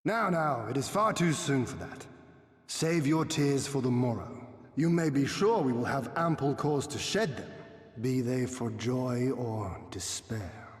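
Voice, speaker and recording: A man's voice: medium pitch, measured pace, natural voice. Man acting a spirited, lordly young samurai in echo. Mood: reassuring, resolute. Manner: lordly, formal, eloquent, reassuring. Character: spirited young samurai lord, confident, kindly, philosophical leader. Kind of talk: dramatic game cutscene acting. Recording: echoey hall with long reverb.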